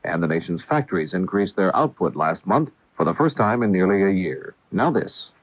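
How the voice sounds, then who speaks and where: Male news anchor, factual bulletin delivery, low register, vintage lo-fi AM radio sound. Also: lively intonation, normal speed. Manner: formal, factual. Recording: dull roomy 1980 AM radio.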